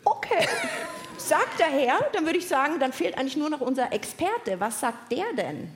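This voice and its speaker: Animated, high-voiced woman hosting for kids. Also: moderate pace. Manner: animated, addresses audience with questions. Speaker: children's science podcast host. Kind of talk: lively live podcast moderation for children. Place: indoor live podcast festival stage. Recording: echoey live venue, faint noise.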